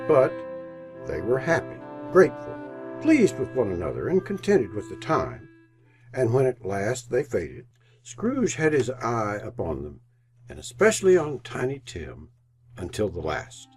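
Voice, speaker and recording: A man tells a dramatic story in old-time radio style. His mid-range voice swings widely in pitch with measured, theatrical phrasing, and instrumental music plays clearly behind him. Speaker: narrator.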